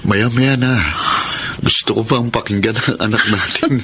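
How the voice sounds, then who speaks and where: A mid-pitched Filipino husband acted by a man, caring and eager, in a radio drama. Some noise runs through the recording. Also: fairly even intonation, measured pace, close to an everyday voice. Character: Roy, caring, eager young husband and expectant father.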